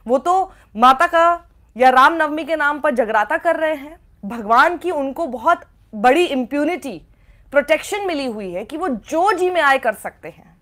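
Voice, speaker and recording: A woman's voice: high-pitched, fairly bright tone. A woman journalist delivering opinionated news commentary in a scathing, sarcastic way, often with rhetorical questions. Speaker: journalist presenting a report.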